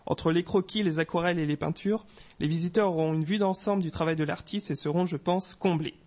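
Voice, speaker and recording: A man with a notably high voice reads a European news roundup in a local radio studio. He keeps a scripted, steady news delivery and drops in light self-mocking asides. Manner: reads briskly, adds self-mocking apologies about pronunciation. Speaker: radio news segment presenter.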